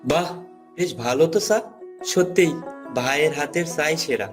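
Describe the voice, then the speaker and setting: An actor playing an excitable village tea drinker in a cartoon, chatty and expressive. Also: male voice, on the high side, normal speed, natural voice. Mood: delighted. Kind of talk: cartoon voice acting. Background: faint music.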